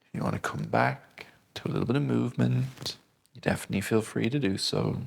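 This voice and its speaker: A man gently guiding a breathing exercise, speaking slowly and soothingly. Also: mid-range voice, vocal fry, husky. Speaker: breathing instructor. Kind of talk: guided breathing lesson.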